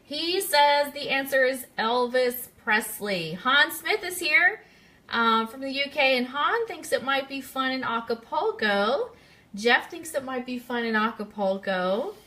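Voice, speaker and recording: A woman's voice: high-pitched, expressive, a little slow, pushed and bright. A woman hosting a casual live-streamed radio quiz, chatty and speaking directly to her listeners. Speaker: radio show host.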